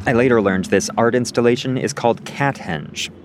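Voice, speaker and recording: A man's voice: mid-range voice, normal speed, very noisy. A male reporter narrating a scripted radio feature, partly recorded outdoors in a city park.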